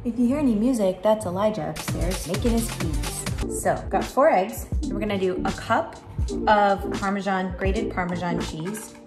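A woman's voice: medium pitch, moderate pace, over loud music. A woman in her forties chatting casually as she cooks in a large home kitchen, filmed from a camera across the room. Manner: chatty, talks while working at the stove. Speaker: home cooking vlogger. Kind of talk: casual cooking demo. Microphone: far camera on tripod.

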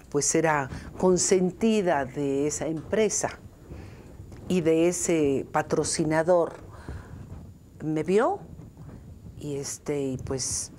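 An older woman with a Mexican accent, an actress reminiscing haltingly in an interview. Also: low voice, darkish tone, slight background noise. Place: indoors, seated interview.

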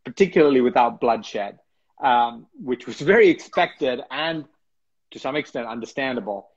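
A middle-aged man from Sri Lanka hosts an interview from his home, thinking aloud and restarting his sentences. His husky voice comes through a dull, lo-fi video call line. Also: medium pitch, animated, normal speed. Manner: thoughtful, hesitant, many restarts. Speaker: interview host. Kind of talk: conversational interview. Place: home room with bookshelves, indoors. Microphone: laptop webcam on a video call.

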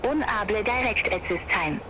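A man's voice: high-pitched, expressive, normal speed. A computer-generated man's voice giving clipped, formal air traffic control replies. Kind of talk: synthetic radio call.

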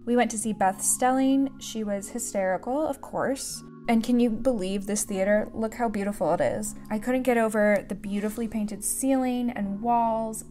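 A woman's voice: on the high side, normal speed, hardly any pauses, dark tone, soft background music. A young woman narrating her travel and crafting vlog in a voice-over, enthusiastic and gushing. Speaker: vlogger.

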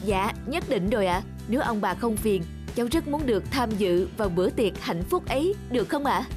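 A woman's voice: fairly high pitch, animated, a little fast, over music, close to an everyday voice. A woman voicing a kind, dutiful young male police officer in a cartoon, polite and reassuring. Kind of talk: cartoon dub acting.

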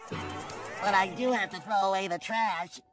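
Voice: medium pitch, measured pace, close to an everyday voice. An actor voicing a cheerful, childlike young male sea sponge in a cartoon, eager and exclamatory. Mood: earnest, puzzled. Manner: eager, exclamatory, wide-eyed. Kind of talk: animated cartoon voice acting. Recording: loud music behind voice.

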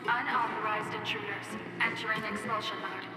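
A woman's voice: medium pitch, steady tone, moderate pace, lightly acted. Woman as a stern factory alarm announcer. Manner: clipped, formulaic, stern. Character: impersonal female factory security announcement machine. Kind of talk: automated alarm broadcast in a video game. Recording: game audio under loud music.

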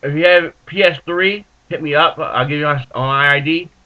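A man in his twenties with a stuffed-up nose, rambling in a laid-back, halting way as he talks to his webcam in a small room with bookshelves. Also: on the high side, pushed and bright. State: stuffed up from allergies. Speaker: vlogger reviewing dip tobacco. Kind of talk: casual webcam vlog. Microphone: built-in webcam mic. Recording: muffled lo-fi webcam audio.

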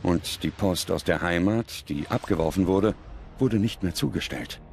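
A man delivering grave, measured scripted narration as a documentary narrator. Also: sits low, creaky, darkish tone, slight background noise.

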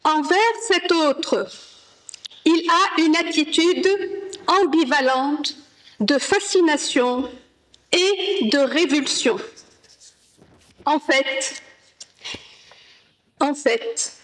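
A woman presenting an academic paper at a conference, reading in a slow, measured way with careful pauses. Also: high voice, fairly bright tone, poor audio. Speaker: conference speaker. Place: conference hall.

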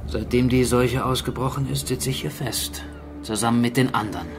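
An actor playing a gruff, wry stranded archaeologist in a naturalistic radio drama, brusque and matter-of-fact. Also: male voice, medium pitch, fairly even intonation, moderate pace, music in the background, lightly acted. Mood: grave, explaining.

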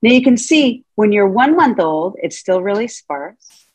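A middle-aged woman speaks over a video call from a room at home, her voice a little husky and recorded dry and close. She lectures in a chatty, playful way, asking her listeners questions as she goes, at an everyday pitch. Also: sing-song, a little slow, a little mellow, no echo, rough recording. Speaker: Montessori teacher trainer giving a talk. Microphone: video call webcam.

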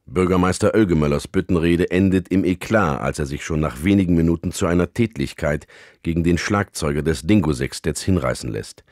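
A grave male chronicler, played by an actor narrating a mock documentary for radio comedy. His voice is very deep and his delivery deadpan and portentous. The sound is dry, with no room on it. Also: a little fast, no room sound, natural voice.

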